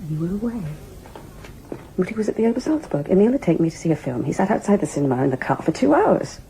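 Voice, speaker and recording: A woman's voice: on the low side, sing-song, quick pace, gravelly, mellow, slightly rough, heavily acted, rough recording. A defiant young woman, swooping expressively. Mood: indignant. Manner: emotional, swings between coy and indignant. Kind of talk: audio drama acting.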